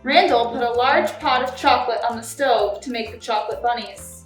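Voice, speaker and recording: Woman, fairly high voice, children's read-aloud, story time volunteer, roomy echo, slightly distant mic. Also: brisk, faint music behind.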